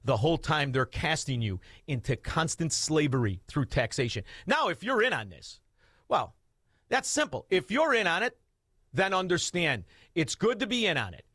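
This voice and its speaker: A man, hosting talk radio in a combative, mocking rant with shouted outbursts. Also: fairly high pitch, very animated, normal speed, no echo, studio quality. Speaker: radio talk show host.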